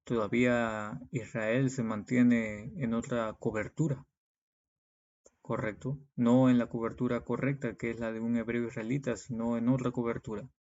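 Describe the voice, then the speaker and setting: A man's voice: medium pitch, level tone, moderate pace, darkish tone. A man preaches in a didactic way, reading Bible verses and explaining them, often checking in with short tags. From Peru. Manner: didactic, punctuated with check-in tags like "okay" and "right". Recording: dull, lo-fi sound.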